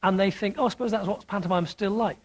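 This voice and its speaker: A man's voice: high-pitched, quick pace, background noise. A British man giving opinionated interview talk in an emphatic, theatrical manner.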